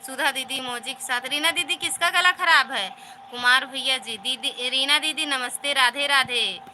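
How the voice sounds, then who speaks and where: Woman, rural north Indian Hindi accent, high bright voice, live-stream host, faint room hiss. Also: moderate pace. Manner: warm, repetitive greetings and thanks. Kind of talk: casual live chat reading out viewer comments.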